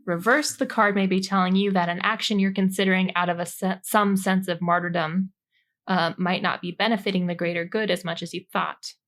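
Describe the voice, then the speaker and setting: A woman's voice: mid-range voice, fairly bright tone. Informal, jokey woman podcast host. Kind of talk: casual chat explaining tarot cards from notes.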